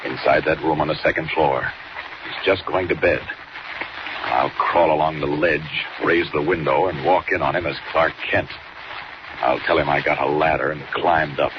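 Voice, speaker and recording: A man's voice: very deep voice, fairly even intonation, normal speed. An actor playing a bold, determined superhero who exclaims his own actions aloud in a 1940s radio drama. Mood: hushed, intent. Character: bold, determined adult male flying superhero. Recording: dull, very noisy old radio transcription.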